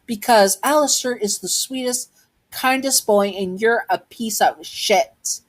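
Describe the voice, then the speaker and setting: Woman acting young child, raised pitch, bold, blunt, protective, defiant, storytelling roleplay. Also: a little slow. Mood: scornful.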